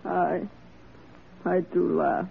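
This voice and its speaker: A performer playing a melancholy, broken woman prisoner in a classic radio drama, speaking plaintively and haltingly. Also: mid-range voice, steady tone, gravelly, breathy edge, heavily acted. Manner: plaintive, halting, archaic rustic phrasing. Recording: tinny, noisy old radio drama recording.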